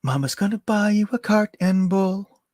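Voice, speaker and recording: A man's voice: high-pitched, moderate pace, darkish tone, dry recording, voice pushed up, natural voice. Storybook figure: an eerie young mother crooning a lullaby, put on by a male narrator, dry close sound. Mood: sing-song crooning. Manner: sing-song crooning.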